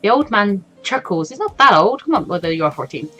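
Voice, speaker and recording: Expressive woman with a very lively pitch. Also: brisk. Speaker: let's play host. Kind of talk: expressive read-aloud game playthrough with casual asides. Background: faint game music.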